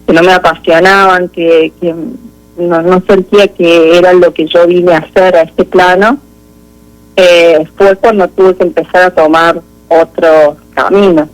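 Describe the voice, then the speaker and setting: A woman with an Argentine Spanish accent giving a halting, introspective personal account as an interview guest. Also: fairly low pitch, level tone, poor audio.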